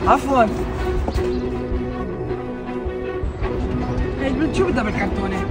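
A man's voice: extremely high pitch, animated, racing. A young man with a Levantine Arabic accent playing a concerned, kind rich man in an acted social media skit, outdoors. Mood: puzzled. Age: young adult. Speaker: actor in short moral skit. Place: outdoors in an urban car park. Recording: loud music over the voice.

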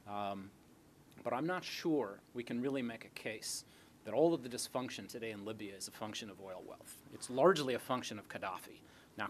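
A middle-aged man answering audience questions as an academic speaker in a lecture hall, explaining through rhetorical questions. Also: mid-range voice. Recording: noisy lecture hall recording.

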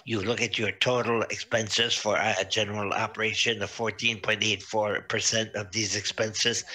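Man, auditor, slow halting delivery, monotone, crisp bright tone. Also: fairly low pitch. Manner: halting, with many pauses and fillers. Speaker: auditor presenting financial statements. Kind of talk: reading figures from slides to a council. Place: municipal council meeting over video link.

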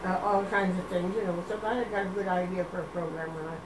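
A woman's voice: lowish voice, steady tone, quick pace, reverberant, recorded from a distance, noisy background. An older woman telling proud, chatty stories of her college days in an interview. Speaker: alumna interviewee. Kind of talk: reminiscing interview.

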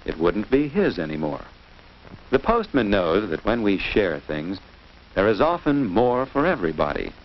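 An American man narrates a 1950s instructional film for children in a lowish, very animated voice. He speaks didactically from a script, posing simple questions to his young audience. The old soundtrack is dull and muffled. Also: normal speed, slight background noise.

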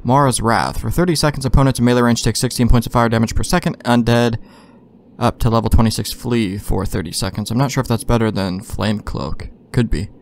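A man's voice: medium pitch, brisk. A man with a mid-range voice gives casual gameplay commentary. He thinks aloud in an informal, mildly profane way, with some game ambience and noise behind him. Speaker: let's play host.

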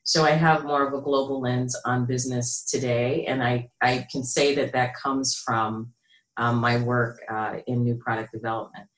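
Rich, deep-voiced American woman, echoey room. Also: steady tone, moderate pace. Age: middle-aged. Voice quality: rich, deep, low register. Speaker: guest, business consultant. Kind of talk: conversational interview, explaining her work. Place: small room indoors at home or office. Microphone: computer mic on a video call. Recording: roomy, echoey video call sound.

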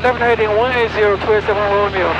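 A male pilot with a high voice makes short radio readbacks. He speaks from a small plane's cockpit, where very loud engine noise surrounds a muffled recording. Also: steady tone, quick pace. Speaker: private pilot. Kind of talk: radio readbacks to air traffic control. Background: loud aircraft engine noise.

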